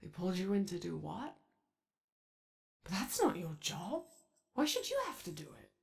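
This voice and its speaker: A woman voicing an anxious, friendly animatronic daycare robot in a halting, soft ASMR roleplay. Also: medium pitch, sing-song, gravelly. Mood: hesitant, friendly. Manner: halting, hesitant, rambling, eager to please.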